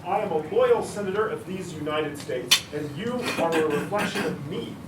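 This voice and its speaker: A man's voice: a little high, expressive, normal speed, close to an everyday voice. A man playing a stern, domineering senator father in a staged reading, scolding and commanding. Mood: angry, forbidding. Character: stern, domineering senator father forbidding his daughter's romance. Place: live restaurant reading. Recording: roomy, distant mic, noisy restaurant.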